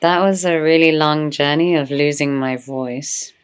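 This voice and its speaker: A woman speaking as a guest in an interview, reflective and earnest, growing animated when she tells stories. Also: low-pitched, unhurried, clear, resonant tone. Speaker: podcast guest, medicine woman. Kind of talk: conversational interview.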